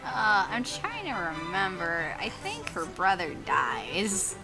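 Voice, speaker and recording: A woman's voice: medium pitch, huge pitch swings, slow pace, gravelly, music in the background, poor audio. A woman co-hosting a casual let's play, reading a story game aloud expressively and adding amused asides.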